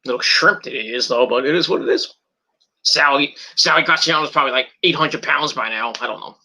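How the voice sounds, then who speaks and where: A man's voice: mid-range voice, fairly quick, pushed and bright. A middle-aged man with a cold and a tickling cough, rambling casually in a vlog with many fillers and restarts. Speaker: wrestling video reviewer.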